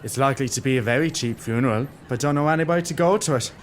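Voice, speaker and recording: A man's voice: medium pitch, rapid-fire, a little hiss or hum, close to an everyday voice. A man acting a callous, jocular gossip in a radio play, flippant and joking. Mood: mocking amusement.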